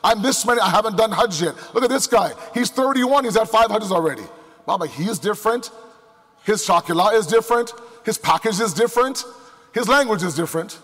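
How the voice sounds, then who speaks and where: High-voiced male preacher in a reverberant hall. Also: lively intonation, fairly quick, a bit bright. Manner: emphatic, with rhetorical questions and repetition. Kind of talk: sermon to a live congregation. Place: large indoor hall before a crowd. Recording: echoey hall with long reverb.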